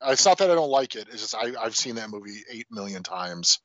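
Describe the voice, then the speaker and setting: A man's voice: lowish voice, animated, fairly quick, a bit bright, dry recording, highs cut off. A man co-hosting a casual movie podcast, talking in a wry, informal way. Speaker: podcast co-host.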